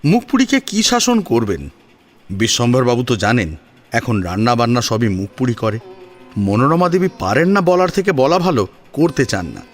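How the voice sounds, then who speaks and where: Animated man narrating a dramatic story. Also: medium pitch, moderate pace. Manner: expressive, rhetorical. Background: music.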